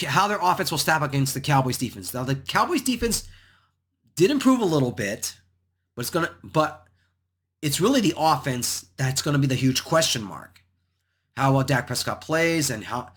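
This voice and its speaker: Hoarse man, solo sports picks talk, rambling, repeats himself, average pitch. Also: fairly bright tone. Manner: rambling, repeats phrases, restarts often. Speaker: sports picks channel host. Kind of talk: solo football predictions talk.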